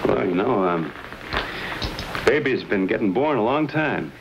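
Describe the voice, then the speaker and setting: A man's voice: fairly low pitch, big pitch swings, measured pace, lo-fi sound. An actor in his thirties playing a plain-spoken, confident frontier adventurer in an old television western. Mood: reassuring, determined. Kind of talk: 1950s television western acting. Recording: noisy old TV western soundtrack.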